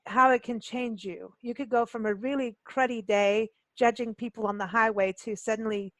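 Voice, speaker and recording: High-voiced chatty woman radio host. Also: fairly even intonation, moderate pace, a bit bright, no room sound. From Kenya. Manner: chatty, informal, joking. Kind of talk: casual conversational interview.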